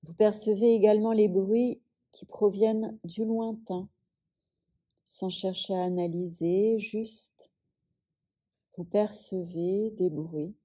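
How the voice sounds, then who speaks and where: Very slow, soft dark-voiced woman guiding meditation. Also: mid-range voice, steady tone, breathy edge. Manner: soothing, unhurried, with long pauses. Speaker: yoga nidra teacher. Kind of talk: guided relaxation meditation.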